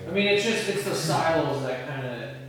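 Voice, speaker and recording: A man's voice: a little high, expressive, normal speed. A man in a casual production discussion, offering suggestions in a hesitant, tentative way as a crew member. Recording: echoey room, distant mic, faint noise.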